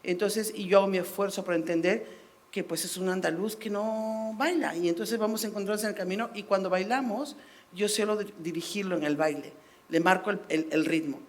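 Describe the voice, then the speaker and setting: A woman's voice: medium pitch, brisk. A middle-aged woman from Latin America speaking on a panel, telling personal stories with fillers and "¿no?" tag questions. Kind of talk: panel discussion.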